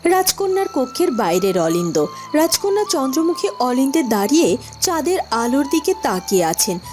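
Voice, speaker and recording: High, animated woman storyteller. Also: normal speed, soft background music. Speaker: narrator. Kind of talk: scripted fairy-tale narration.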